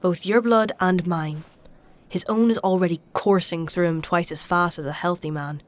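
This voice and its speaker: Woman as low-voiced, wry alchemist healer. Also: fairly even intonation, close to an everyday voice. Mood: brisk. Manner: measured, explanatory, dryly sardonic. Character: wry, learned female alchemist-healer, stubborn and principled. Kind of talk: dark fantasy audio drama acting.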